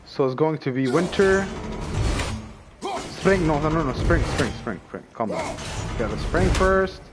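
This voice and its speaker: Excitable, cursing gamer man. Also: a little high, measured pace. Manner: excitable, swearing, thinks aloud. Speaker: game streamer. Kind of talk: let's-play commentary. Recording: loud game music behind.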